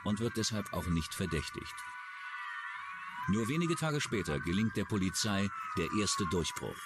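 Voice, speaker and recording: A man narrating a true-crime documentary in a scripted style. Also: low-pitched. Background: background music.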